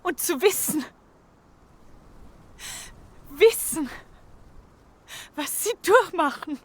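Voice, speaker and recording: A woman's voice: extremely high pitch, lively intonation, very slow, heavily acted. A woman playing a hard, threatening survivor in an audio drama, curt and urgent in manner. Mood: anguished anger. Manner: curt, menacing, hushed urgency. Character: hard, threatening female survivor guarding a boy.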